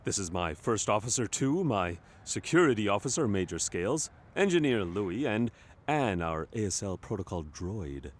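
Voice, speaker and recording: Man playing a low, animated starship captain. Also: moderate pace. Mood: earnest, explaining. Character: earnest, wry male starship captain, a wheelchair user. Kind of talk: comic sci-fi audio play acting.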